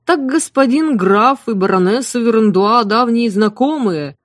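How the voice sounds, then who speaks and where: A woman puts on a towering, warm-hearted kitchen cook with a singsong drawl. The voice rides higher and louder than plain narration and stretches its words slowly. It sits close and dry, with no room around it. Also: animated, no room sound. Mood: drawling, folksy. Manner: drawling, folksy sing-song speech. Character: huge motherly female cook, southern singsong speech. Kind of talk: audiobook character voice.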